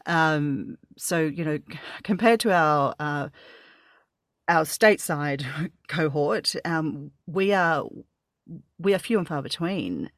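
A man's voice: fairly high pitch, slow pace, fairly bright tone, no room sound, studio quality. A man with an Australian accent hosting a casual interview, asking rambling, hesitant questions full of fillers. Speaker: podcast host, audio creator.

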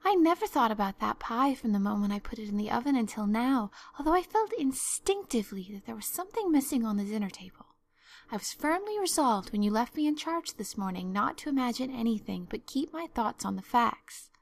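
A woman's voice: fairly high pitch, animated, a little fast, voice pushed up. A woman voicing an imaginative, earnest young girl in a storybook, talking in an effusive, theatrical, pleading way. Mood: earnest, dreamy. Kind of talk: storybook narration.

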